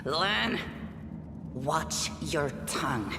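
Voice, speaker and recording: Game role: grave, proud mongrel tribeswoman, low voice, slow delivery, stern, reverberant hall. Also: very animated, noisy background, heavily acted. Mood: curt, stern. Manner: solemn, measured, stern. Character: stern, fatalistic female mongrel underground tribeswoman, solemn and proud. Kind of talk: video game voice acting. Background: background music.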